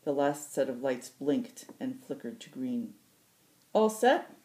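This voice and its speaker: Very deep-voiced woman narrating fiction. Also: steady tone, unhurried, warm tone. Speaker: host reading book excerpts. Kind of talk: audiobook-style fiction narration.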